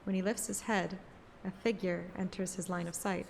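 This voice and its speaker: A woman narrating a scripted present-tense story in a measured, descriptive way. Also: on the low side, flat delivery, creaky, darkish tone, a little hiss or hum. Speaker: audiobook narrator and streamer. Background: music.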